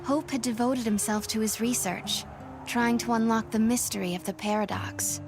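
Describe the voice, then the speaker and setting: Woman acting as young game heroine, high gentle voice, earnest n hopeful, music behind. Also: steady tone, normal speed, close to an everyday voice. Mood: reflective narration. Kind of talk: video game English dub acting.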